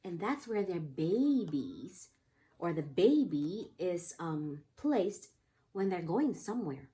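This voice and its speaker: A woman's voice: fairly low pitch, big pitch swings, slow pace, dark tone. A woman teaching young children in a read-aloud lesson, explaining simply and prompting with repeated questions. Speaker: pre-K teacher.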